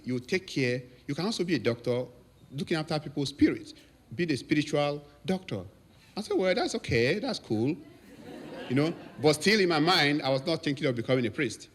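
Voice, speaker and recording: Nigerian priest telling stories. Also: male voice, fairly high pitch. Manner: storytelling, laughs often, lively quotes. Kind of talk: anniversary thank-you speech.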